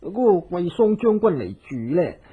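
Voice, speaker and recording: An actor playing a doting, simple fat husband and cook in a Hong Kong Cantonese radio drama, with a fawning drawl. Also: male voice, high-pitched, very animated, moderate pace, breathy edge, narrow, tinny audio, full character voice. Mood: worried.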